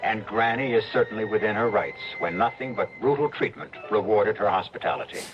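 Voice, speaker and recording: A wry horror-show host played by a man narrates formally in a lowish voice. Music plays clearly behind, and the old radio sound is dull. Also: a little fast, close to an everyday voice.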